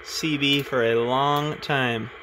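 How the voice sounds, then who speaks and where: A man thinking aloud in a relaxed way as he tests his CB radio in a garage. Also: medium pitch, slow pace, noisy background. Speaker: radio hobbyist demonstrating his CB radio. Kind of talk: casual hobby video narration. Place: home garage.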